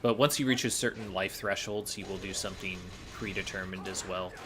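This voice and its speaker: A man's voice: low-pitched, steady tone, normal speed, heavy background noise. A man giving explanatory gameplay commentary as a speedrunner, matter-of-fact and instructive. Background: faint game music.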